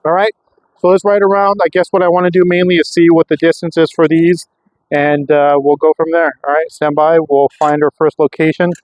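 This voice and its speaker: A man's voice: fairly high pitch, level tone, a little fast, darkish tone, no room sound, poor audio. An American man talking casually and off the cuff as a vlogger testing gear, rambling and thinking aloud. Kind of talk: casual unscripted vlog narration. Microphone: clip-on microphone.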